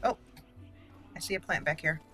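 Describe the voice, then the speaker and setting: A woman's voice: deep voice, moderate pace, pushed and bright. A woman giving casual, chatty gaming commentary, thinking aloud and exclaiming as she plays. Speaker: gameplay video host. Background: game music.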